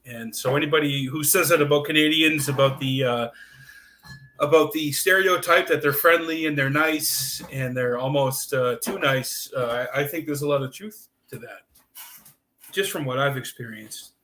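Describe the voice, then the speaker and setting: A man's voice: mid-range voice, moderate pace, bright, forceful tone, roomy sound. A man co-hosting a casual podcast, rambling along with lots of fillers and restarts as he tells a story. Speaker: podcast co-host. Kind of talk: casual chat reading super chats.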